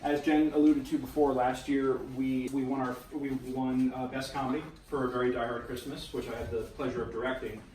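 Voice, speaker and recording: A man's voice: medium pitch, little pitch movement, brisk, few pauses, a little mellow. Man addressing a group, echoey room. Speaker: theatre company playwright and director. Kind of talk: informal talk to a cast. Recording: echoey room, distant mic, faint noise.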